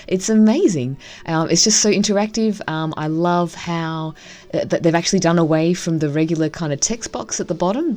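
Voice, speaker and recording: A woman's voice: low voice, darkish tone. A woman giving casual, enthusiastic first-impressions commentary on a game as she plays. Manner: gushing, enthusiastic. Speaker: game streamer reviewing a visual novel.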